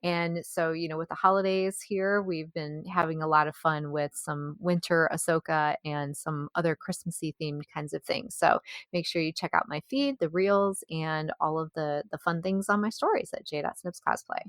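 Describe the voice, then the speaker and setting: A woman's voice: sits low, moderate pace, a bit bright, dry recording, clean studio sound. A woman talking casually as the co-host of a podcast.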